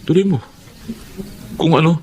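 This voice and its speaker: An actor playing a proud, stubborn, defensive husband and head of household in a Filipino radio drama. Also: male voice, on the high side, measured pace, a little mellow, a little hiss or hum, natural voice. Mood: stern, irritated.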